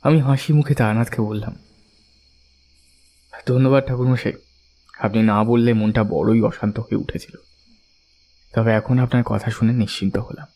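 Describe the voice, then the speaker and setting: A man's voice: medium pitch, dark tone, close to an everyday voice. An actor playing an earnest, devout family man who tells his own story conversationally in an audio drama. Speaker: narrator and character. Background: faint background music.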